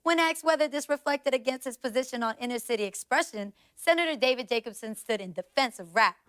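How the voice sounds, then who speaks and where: A woman's voice: high-pitched, expressive, fast, fairly bright tone, clean tone. An actress playing a composed female TV news reporter, reading a scripted report in a formal manner.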